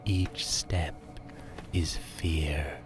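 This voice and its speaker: A man narrating a Halloween radio drama in a slow, ominous, theatrical storytelling manner, with a breathy, hushed delivery. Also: rumbling low, steady tone. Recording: music under the voice. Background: music.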